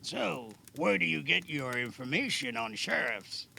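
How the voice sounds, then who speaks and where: Casting: male actor for a gruff older healer in an audio drama, very gravelly and forceful, guarded but kindly. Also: fairly high pitch, animated, unhurried, heavily acted. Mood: guarded, relaxed. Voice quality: gravelly, creaky. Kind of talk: amateur audio drama acting. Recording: faint background noise.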